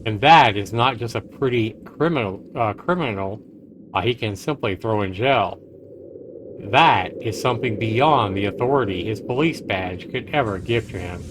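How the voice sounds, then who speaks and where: Guy streamer reading a story game out loud, dramatic, takes it slow, noisy recording. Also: medium pitch. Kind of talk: dramatic game read-aloud with commentary. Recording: noisy home livestream.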